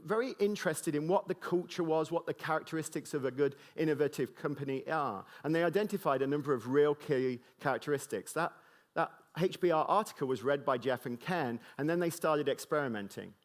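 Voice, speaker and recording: A man's voice: on the high side, a little fast. Joking British man giving a keynote. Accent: British English with a slight mid-Atlantic tinge. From Britain. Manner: joking and loose, with many fillers and restarts. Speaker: conference keynote speaker. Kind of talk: conference talk to a live audience.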